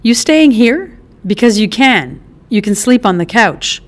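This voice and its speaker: A brash middle-aged mother just out of prison, as a woman reader puts her on, bossy and teasing but full of love. Her voice is pitched higher and louder than the narration around her, while the delivery stays slow and unhurried. It is a storybook figure read aloud. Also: expressive. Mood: affectionate.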